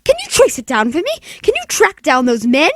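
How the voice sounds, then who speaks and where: A man's voice: very high voice, wildly swooping pitch, fairly quick, no room sound, clean studio sound, full character voice. A man voicing a demanding, hysterical wealthy invalid woman in an old radio play, imperious and exasperated. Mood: agitated. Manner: imperious, exasperated, breathless urgency. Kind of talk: 1940s radio play acting.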